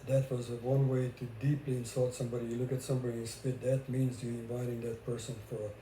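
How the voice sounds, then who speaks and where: Older Hungarian-accented man, very dark mellow voice. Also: medium pitch, flat delivery, fast. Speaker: vlogger sharing relationship experiences. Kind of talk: casual conversational talk. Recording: roomy echo with faint background noise.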